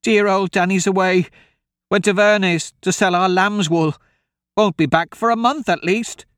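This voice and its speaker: A man's voice: high voice, fairly even intonation, normal speed, a bit bright, smooth, dry recording, clean studio sound, pitched above the performer's own voice. A man voicing a frightened old farm woman in an audiobook, with urgent, folksy, rustic talk. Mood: anxious.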